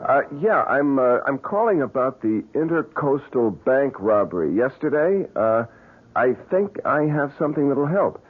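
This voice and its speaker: A man's voice: mid-range voice, big pitch swings, unhurried, soft background music. A man playing an earnest, stubbornly curious ordinary man in an old radio mystery drama. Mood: nervous, hesitant. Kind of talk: 1970s radio drama acting. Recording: lo-fi, muffled old radio recording.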